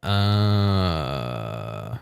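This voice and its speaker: A man's voice: extremely low pitch, big pitch swings, drawn-out words, creaky, clear, resonant tone, no echo, faint music behind. A man doing casual, chatty livestream commentary on a video game, reacting aloud and reading bits of text as he goes. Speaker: livestream host.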